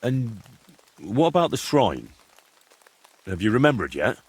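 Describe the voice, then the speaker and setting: An actor playing a kindly, patient young man in a medieval video game, gentle and slightly hesitant as he coaxes. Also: lowish voice, sing-song, a little slow, breathy edge, full character voice, rough recording. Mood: helpful, patient. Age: young adult. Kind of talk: dramatic video game dialogue.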